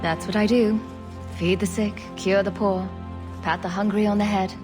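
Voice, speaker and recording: Woman as a sardonic adventurer, clear music behind. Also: medium pitch, steady tone, moderate pace, close to an everyday voice. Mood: dry sarcasm. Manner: dry, wry, flippant. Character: sardonic, confident female adventurer hero. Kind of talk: video game cutscene acting.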